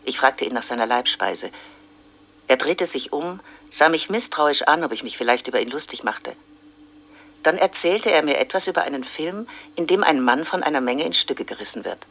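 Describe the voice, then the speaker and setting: An actor playing a sheriff's spouse, giving an anxious eyewitness account in a documentary radio drama. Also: male voice, a little high, expressive, moderate pace, creaky, a bit bright, exaggerated voice. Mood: matter-of-fact recollection. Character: anxious sheriff's spouse recalling the prisoners' arrival.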